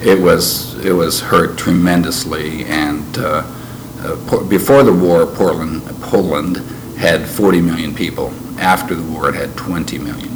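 An older man who grew up in Canada, recalling his past in an interview in a measured, reflective way with frequent pauses. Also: low voice. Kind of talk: oral history interview. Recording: noisy background ambience.

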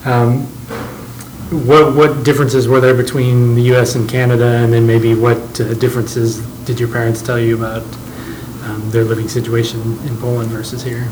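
A man's voice: mid-range voice, level tone, moderate pace. A man interviewing someone, asking brief, attentive questions and giving short acknowledgments. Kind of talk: oral history interview. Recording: noisy background ambience.

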